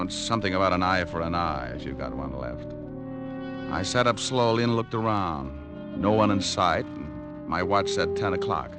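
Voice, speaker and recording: A man playing a hard-boiled private detective on an old radio show, narrating with dry, sardonic wisecracks. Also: low-pitched. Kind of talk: 1940s radio acting with narration. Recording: old radio broadcast with music.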